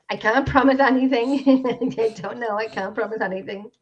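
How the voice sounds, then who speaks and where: An Irish woman co-hosting a loose live-stream chat, her voice sitting a little high and breaking into giggles. She talks in a chatty, self-mocking way. The sound comes through a dull, lo-fi home microphone. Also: a little mellow, slightly rough. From Ireland. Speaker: podcast co-host. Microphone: home computer microphone on a video call. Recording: dull lo-fi online call audio.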